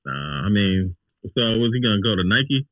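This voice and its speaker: A narrow, phone-like recording with no room echo carries a man's low voice. He chats casually as a guest on a podcast. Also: big pitch swings, moderate pace. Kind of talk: casual chat. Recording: thin phone-like sound, dry.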